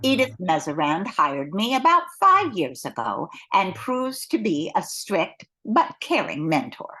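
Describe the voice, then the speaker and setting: A woman's voice: lowish voice, big pitch swings, a little slow, bright, forceful tone, heavily acted, rough recording. Older woman as swooping, earnest newsroom gal. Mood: warm, proud. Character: kind, smart, excitable young-spirited female newsroom worker. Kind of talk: 1940s radio comedy acting.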